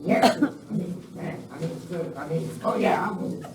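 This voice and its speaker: A woman's voice: sits low, a little slow, a crowd in the background. A woman playing a bumbling, flustered henchman in a Western radio play. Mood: flustered, defensive. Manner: stammering, flustered. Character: bumbling, nervous henchman outlaw, flustered by the boss. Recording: echoey hall, distant mic, faint noise.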